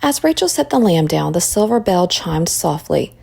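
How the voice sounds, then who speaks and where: A woman reading a children's story aloud in expressive narration. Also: fairly low pitch, moderate pace, gravelly, slightly rough.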